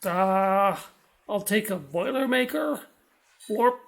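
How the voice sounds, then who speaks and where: A very creaky, croaking frog creature, speaking slowly and haltingly. It sounds hesitant, an otherworldly little oddball in a comic audio drama. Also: medium pitch. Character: hesitant frog-shaped otherworldly entity that blurts blorp.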